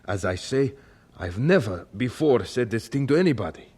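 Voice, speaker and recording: A devout young preacher in a religious radio play. His phrasing is formal and foreign, his earnestness intense. The voice sits mid-range, rough-edged and very animated. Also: medium pitch, normal speed. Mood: fervent. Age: 30s. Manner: formal, fervent, foreign word order. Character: earnest, devout Prussian-born missionary man of thirty-seven. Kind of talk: religious radio drama acting.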